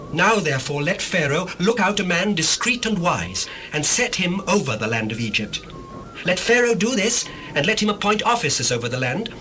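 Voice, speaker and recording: An actor playing an earnest young Hebrew dream interpreter in a dramatized scripture reading, solemn and declamatory. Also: male voice, high-pitched, pushed and bright, a little hiss or hum, exaggerated voice, low-quality recording. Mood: urgent, advising.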